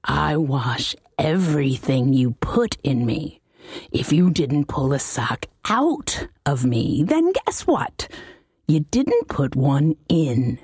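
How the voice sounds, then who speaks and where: A woman voicing a bored, cheeky male laundry demon servant in a comic fantasy audiobook, deadpan and formally insolent. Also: low-pitched, slow, breathy edge, dry recording, full character voice. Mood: defensive.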